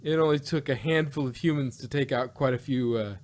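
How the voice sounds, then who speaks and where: A man reviewing in a casual podcast conversation, talking in a halting, animated, argumentative way and often repeating himself. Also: medium pitch. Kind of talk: casual review chat about an audio drama.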